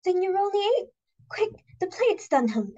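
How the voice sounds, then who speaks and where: A woman's voice: very high-pitched, very animated, normal speed, warm tone, muffled recording, a little hiss or hum. A woman playing an anxious, bungling young society daughter in an amateur reading of a stage comedy, exclamatory and apologetic. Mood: practical, urgent.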